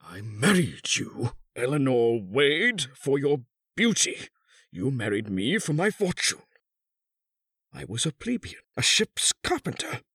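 A husky, furious old gentleman, wildly high-strung. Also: sing-song, takes it slowly, dry recording, studio quality, heavily acted. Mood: bitter, accusing. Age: 60s. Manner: high-strung, bitter, declamatory. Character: furious, vindictive old English knight and wronged husband. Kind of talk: dramatic audiobook character reading.

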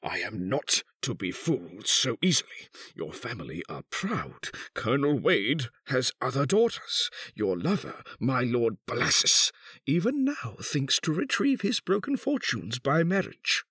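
A vindictive old husband, pitched far above a normal low register and swinging wildly. The voice is husky and slow, declaiming each bitter accusation in high-strung audiobook melodrama. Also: male voice, big pitch swings, a bit bright, no echo, studio quality, full character voice. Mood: scornful, vengeful. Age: 60s. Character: furious, vindictive old English knight and wronged husband. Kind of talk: dramatic audiobook character reading.

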